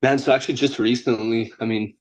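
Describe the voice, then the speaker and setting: A man's voice: mid-range voice, fairly even intonation, fast talker. A young man from Bosnia, a guest trader, talking loosely and rambling over a video call, often tagging "you know what I mean" and "right?". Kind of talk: casual interview over a call.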